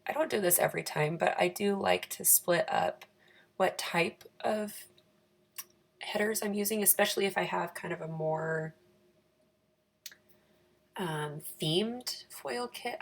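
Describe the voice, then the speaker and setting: A woman with a raspy, croaky voice giving a chatty, self-deprecating, casual craft voice-over for her planner channel. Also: on the low side.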